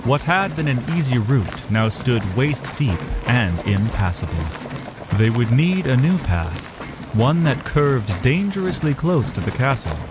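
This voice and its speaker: A man giving dramatic, scripted narration for a fantasy audio drama as its storyteller. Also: lowish voice. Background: music.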